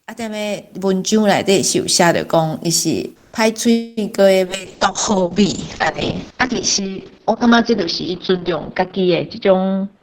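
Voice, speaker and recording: A woman's voice: medium pitch, moderate pace, hardly any pauses, a little mellow. A woman with a Taiwanese accent giving informal, reflective opinions as a guest in a book discussion.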